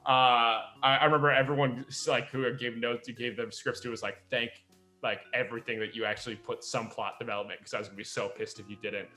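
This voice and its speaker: A man chatting casually among friends as a podcast host, telling animated stories, acting out quotes and swearing freely. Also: mid-range voice, fast talker, soft background music. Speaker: podcast host and audio drama creator.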